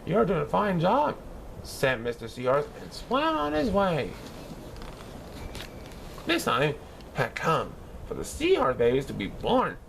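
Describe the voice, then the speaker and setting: A man voicing an excitable, childlike cartoon sea sponge, eagerly reading a children's storybook aloud and stumbling over words. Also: a little high, a little slow, creaky, long pauses, slight background noise, full character voice. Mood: bubbly storytelling.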